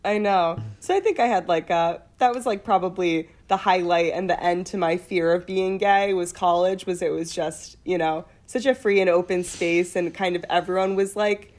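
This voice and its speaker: Young woman, deep-voiced, chatty and laughing, casual conversation. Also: steady tone, moderate pace, few pauses, a little mellow. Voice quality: fairly deep voice. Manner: laughing, chatty, swears freely. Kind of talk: casual chat.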